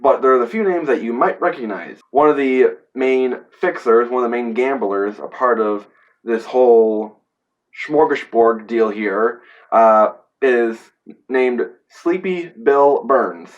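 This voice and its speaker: A man's voice: medium pitch, measured pace, muffled recording. A man with a head cold, sounding congested, who gives a rambling, casual, classroom-style film review full of fillers and playful asides. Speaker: history teacher reviewing a film.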